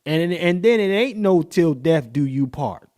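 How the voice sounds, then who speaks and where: Man, podcast host, playful reaction talk full of slang and laughs, slightly high voice, unhurried delivery. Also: animated, darkish tone. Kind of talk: casual reaction commentary.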